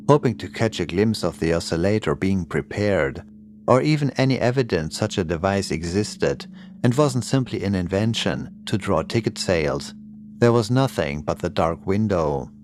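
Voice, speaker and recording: A man's voice: sits low, a little mellow, slight background noise. A man reading scripted horror fiction as an audiobook narrator, in the manner of a prepared storytelling performance. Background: creepy music and sound effects.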